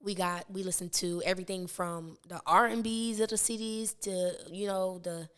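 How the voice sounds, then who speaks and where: A raspy-voiced woman in her twenties, a rapper talking as a podcast guest, rambling through her story with many fillers and restarts. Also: on the low side, steady tone, normal speed, a bit bright. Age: 20s. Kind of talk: casual interview chat.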